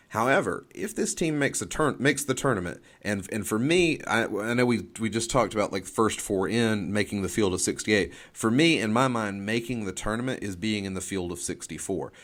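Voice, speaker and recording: A man co-hosting a sports podcast, giving opinions and game picks in casual talk, halting and repeating phrases as he reasons. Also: lowish voice.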